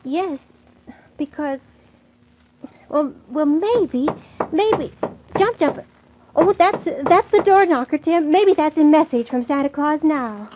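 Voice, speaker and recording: An actress playing a kindly, worried, motherly hostess in a 1940s children's radio play, gentle and hesitant. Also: high-pitched, lively intonation, slow, long pauses, background noise. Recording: muffled, narrow 1940s radio recording.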